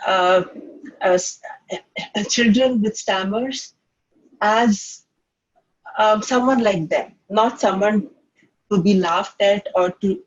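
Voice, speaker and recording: An adult speaks with a stammer, stretching and repeating sounds between many fillers. The voice is breathy and husky at a mid pitch. It comes through a muffled, lo-fi online call line. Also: takes it slowly, long pauses. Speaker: children's author and panelist. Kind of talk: panel discussion answer.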